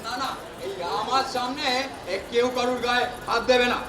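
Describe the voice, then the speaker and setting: A man's voice: extremely high pitch, little pitch movement, moderate pace. An actor playing a flustered, self-important old God in a comic stage play, stammering and repeating himself, pompous when he addresses a crowd. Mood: wary. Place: theatre stage at a drama festival. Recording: echoey distant stage recording, noisy audience.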